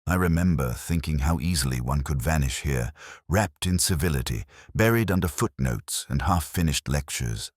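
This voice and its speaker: An extremely deep male voice narrates a reflective mystery in scripted first person. The delivery is measured and melancholy, yet the pitch moves expressively through each phrase. The sound is dry, with no room around it. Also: lively intonation, no room sound.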